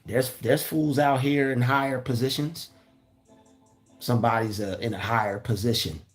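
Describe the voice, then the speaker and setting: A man with a raspy voice giving a casual, preachy motivational talk, repeating his points. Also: mid-range voice, steady tone, normal speed, faint music behind. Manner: repeats phrases, preachy and earnest. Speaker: motivational vlogger.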